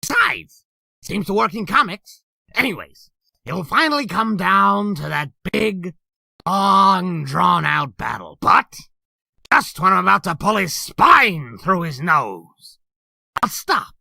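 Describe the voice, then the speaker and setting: A man's voice: sits high, very animated, slow pace, creaky, pushed and bright, no echo, full character voice. A man voicing a gleeful, sadistic male demon clown who boasts with gory bravado in a fan comic dub. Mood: boastful.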